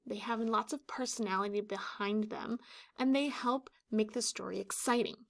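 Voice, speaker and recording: A woman in her thirties, a teacher explaining a children's story with deliberate enunciation. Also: on the high side, gravelly. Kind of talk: instructional children's read-aloud. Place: small room indoors, classroom or office decor.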